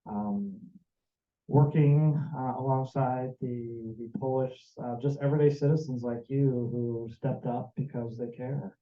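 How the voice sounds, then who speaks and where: An American man tells his story in an earnest, wandering way, still worn from jet lag. His mid-pitched voice comes through thin and phone-like, with the hollow ring of a bouncy meeting room around it. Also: fairly even intonation, a little slow. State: jet-lagged. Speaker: church member sharing a mission trip report. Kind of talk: personal testimony to a congregation. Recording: tinny, echoey room recording.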